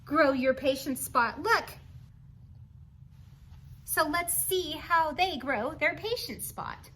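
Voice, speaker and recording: A woman reading a picture book aloud to children in an expressive, encouraging, teacherly way, adding chatty asides and questions for the listener. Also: sits high, takes it slowly. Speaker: storybook reader for children.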